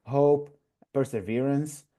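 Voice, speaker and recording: Earnest young man from Iraq, mellow voice. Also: mid-range voice, takes it slowly. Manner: earnest, many "uh" and "like" fillers. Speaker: guest, newly matched resident. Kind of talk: interview answers.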